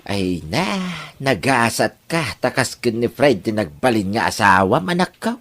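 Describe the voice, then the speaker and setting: A man's voice: fairly low pitch, sing-song, a little slow, a bit bright, full character voice. A man playing a chatty, teasing older father-in-law in an Ilocano audio drama, with a Filipino Ilocano accent. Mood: teasing, approving.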